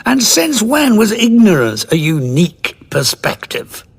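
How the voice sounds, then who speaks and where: An actor playing a weary, bitter old alien war veteran in an audio drama, sardonic and indignant, with a gravelly, husky voice. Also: male voice, high voice, wildly swooping pitch, measured pace, full character voice. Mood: scornful.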